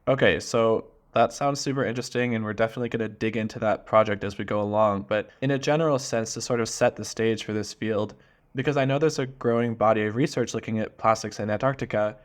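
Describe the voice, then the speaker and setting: A man hosting a podcast, reading a scripted introduction and then asking interview questions in a clear, earnest, friendly way. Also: on the low side, fairly quick. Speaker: podcast host.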